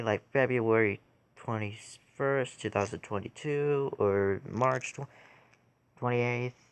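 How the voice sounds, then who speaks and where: A man giving a casual how-to tutorial in a halting way, pausing between short phrases. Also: mid-range voice, clean tone. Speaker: tutorial video creator.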